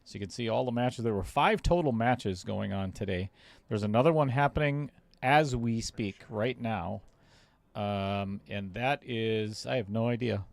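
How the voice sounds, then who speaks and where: A man's voice: on the low side, unhurried, vocal fry, dry recording. A man doing casual play-by-play commentary on a pool match for a live stream. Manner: casual, chatty, reads viewer comments aloud.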